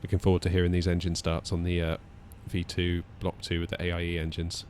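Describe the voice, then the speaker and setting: A man with a low voice hosts a flight simulator session in casual commentary. He chats and thinks aloud as he goes, with faint background noise under his talk. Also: monotone, fast talker, a little mellow. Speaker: flight simulator video host.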